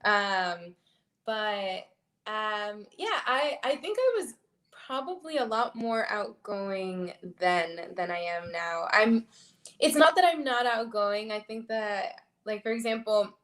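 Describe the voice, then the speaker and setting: A young woman from Florida and the Dominican Republic, a model and singer, chatting casually as an interview guest, laughing often and pausing on "um". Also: mid-range voice, expressive, a bit bright. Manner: laughing often, hesitant with many ums.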